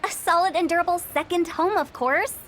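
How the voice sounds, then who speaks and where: Brief: a playful, scheming young funeral director, high and bright, teasing with creepy asides. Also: female voice, brisk, slight background noise. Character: mischievous young female funeral parlor director. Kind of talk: video game voice acting.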